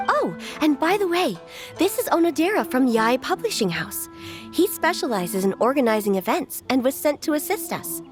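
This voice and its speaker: Confident classy game lady, high voice, very expressive, formal wording, faint music under. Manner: eloquent, formal. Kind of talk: video game voice acting.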